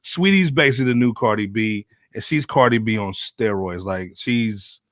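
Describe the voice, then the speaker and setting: A man's voice: fairly low pitch, normal speed, a bit bright, dry recording, clean studio sound. A man with an American accent hosting a casual panel podcast in slangy, colloquial speech. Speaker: podcast host.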